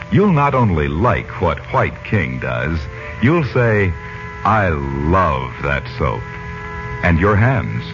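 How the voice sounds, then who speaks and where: A man announcing and narrating a 1940s radio serial from a script in a formal, theatrical, emphatic style. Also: low-pitched. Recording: muffled vintage 1940s radio recording. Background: music.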